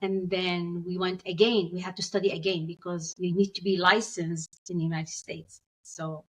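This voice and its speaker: A woman's voice: fairly low pitch, little pitch movement, normal speed, a bit bright, low-quality recording. Syrian-raised woman dentist telling her story. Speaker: dentist, guest. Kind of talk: interview storytelling.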